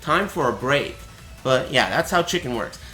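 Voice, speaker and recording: A man with a raspy, cracking voice, teaching a fighting game on a live stream in a casual, explanatory way. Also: medium pitch, lively intonation, moderate pace, pushed and bright, reverberant, faint music behind. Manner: chatty, explanatory, asks "right?" often.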